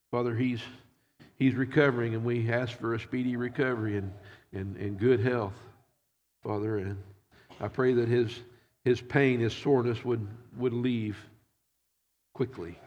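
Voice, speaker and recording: A man preaching and praying as pastor, sounding nasal from allergies, often halting and repeating words. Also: mid-range voice, a little mellow, muffled recording. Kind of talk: prayer and sermon. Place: church. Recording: reverberant hall.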